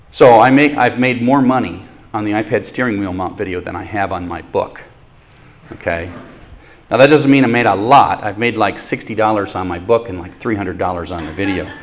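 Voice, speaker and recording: A man lecturing a university class in a relaxed, conversational way, with self-deprecating jokes. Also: fairly low pitch, normal speed. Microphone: microphone adjusted during talk.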